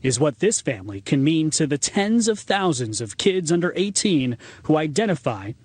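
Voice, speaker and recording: A man narrating a television news segment in a measured, scripted way. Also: on the high side, very animated, a little mellow, husky, no echo. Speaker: news reporter.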